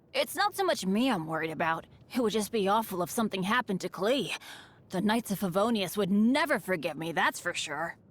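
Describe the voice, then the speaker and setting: A woman voicing a cheerful, unlucky teenage boy adventurer in a video game, chatty, earnest and self-deprecating. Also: fairly high pitch. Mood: fond, worried. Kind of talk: video game character voice lines.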